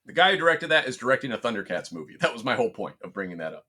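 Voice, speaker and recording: A man's voice: mid-range voice, very animated, very fast, sharp tone. A middle-aged man chatting casually about pop culture as a podcast co-host, rambling and telling stories with some swearing.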